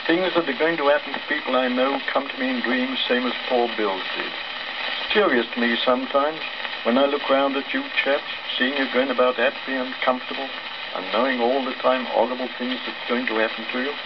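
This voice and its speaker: A man's voice: medium pitch, fairly even intonation, brisk, close to an everyday voice. Crackle and a muffled old-record sound surround an English ship's cook as a male storyteller plays him. He is a self-important storybook boaster, airy and mock-sorrowful about his supposed gift. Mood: boastful, self-pitying. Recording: old crackly record, dull, heavy surface noise.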